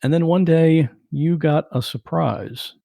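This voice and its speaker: Relaxed male podcast host, dry sound. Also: on the high side, unhurried, gravelly, dry recording. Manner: relaxed, conversational questions. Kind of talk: casual interview.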